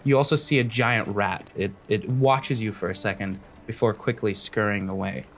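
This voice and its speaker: A man's voice: fairly low pitch, steady tone, moderate pace, fairly bright tone, a little hiss or hum. A man narrating a tabletop fantasy game as the game master.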